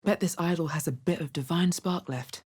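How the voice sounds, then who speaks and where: A very low, husky female adventurer from a fantasy video game. She is wary and dry, delivering her remarks in a measured, understated way. Her rough-edged bass gives her a guarded weight. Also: a little mellow. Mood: wary, matter-of-fact. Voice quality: bassy, husky. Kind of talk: video game character voice lines.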